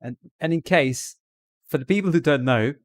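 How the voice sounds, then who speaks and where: A man's voice: on the high side, moderate pace, no echo. A man chatting casually to viewers on a livestream in a put-on British Received Pronunciation accent, talking to them directly and often repeating himself. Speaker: live streamer.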